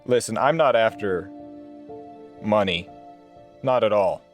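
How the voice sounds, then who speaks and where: Male investigator voiced by a player, lowish pitch, measured and direct, tabletop noir, music underneath. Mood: measured, serious. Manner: blunt, measured, earnest. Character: male private investigator, blunt and steady under pressure.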